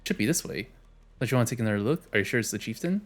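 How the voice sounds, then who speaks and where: A man's voice: medium pitch, lively intonation, racing. A man streaming a game, casually reading its dialogue aloud with wry, offhand jokes.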